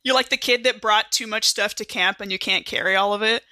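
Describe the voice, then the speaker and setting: A woman's voice: medium pitch, fairly even intonation, a little fast, bright, forceful tone, no room sound. A woman chatting casually and narrating as a player in a tabletop role-playing podcast. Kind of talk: casual storytelling and game narration.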